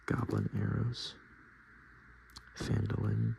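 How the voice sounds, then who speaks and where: A man doing softspoken, whispered ASMR, reading and commenting informally in a breathy, unhurried way and correcting himself as he goes. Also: little pitch movement, noisy background. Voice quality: breathy whisper mixed with low, creaky soft speech. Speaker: ASMR host browsing a tabletop game book.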